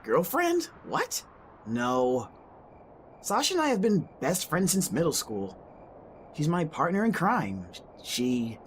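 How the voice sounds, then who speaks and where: Animated man playing an excitable young guy, noisy audio. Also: fairly high pitch, slow pace, heavily acted. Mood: amazed. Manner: casual, slangy, animated. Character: friendly, excitable young man loyal to his friends. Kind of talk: amateur audio drama acting.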